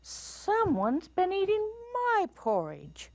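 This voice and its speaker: A woman voicing a fussy mother bear with a quiet, high voice in a children's story. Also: huge pitch swings, slow, no room sound, heavily acted. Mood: dismayed.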